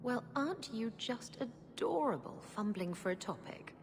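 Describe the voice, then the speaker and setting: A woman's voice: fairly high pitch, expressive, moderate pace, background noise. An actress playing a flirtatious, boastful female pirate captain in a video game. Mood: teasing. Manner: flirtatious, playful, teasing. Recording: background music.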